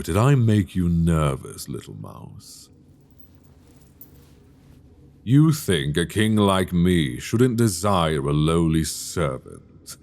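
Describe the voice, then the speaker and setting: A man's voice: extremely low pitch, creaky, heavily acted. A man playing an imprisoned mad dragon king, seductive, obsessive and menacing, in an intimate, teasing, theatrical ASMR roleplay delivered close to the mic. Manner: theatrical, teasing, drawn-out delivery with soft asides. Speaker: audio roleplay voice actor. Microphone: close binaural microphone.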